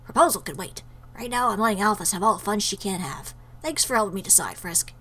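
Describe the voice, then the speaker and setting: A woman's voice: mid-range voice, animated, fast, bright, forceful tone, breathy edge. A woman voicing a fierce, blunt female fish warrior from a game who is awkward about love. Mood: relieved, earnest. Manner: blunt, brash.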